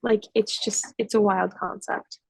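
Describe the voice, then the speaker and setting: A woman's voice: mid-range voice, steady tone, a little slow, vocal fry, slightly rough, no echo, poor audio. An eighteen-year-old woman talking casually in a student podcast discussion, with lots of "like" fillers. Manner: chatty, many "like" fillers.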